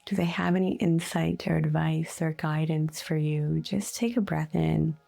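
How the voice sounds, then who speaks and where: Slow, creaky-voiced woman guiding meditation. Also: low-pitched, steady tone. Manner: soothing, unhurried, gently instructive with long pauses. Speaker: guided meditation host, energy healer. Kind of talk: guided meditation. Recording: soft music bed behind voice. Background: faint music.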